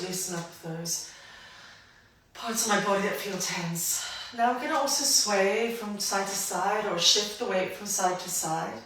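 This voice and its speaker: A woman guiding a grounding movement practice, gentle and invitational, her voice a little croaky from hay fever. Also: mid-range voice, distant mic, a little hiss or hum. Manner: gentle, invitational, unhurried guidance. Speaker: somatic practice guide. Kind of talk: guided movement instruction. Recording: roomy echo, voice from afar.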